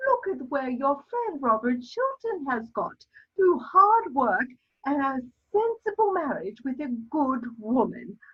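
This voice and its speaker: A woman's voice: sits high, slightly rough, exaggerated voice. A woman playing an imperious, scolding elderly aristocratic mother in a theatrical period comedy reading, emphatic and haughty. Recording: dull lo-fi sound.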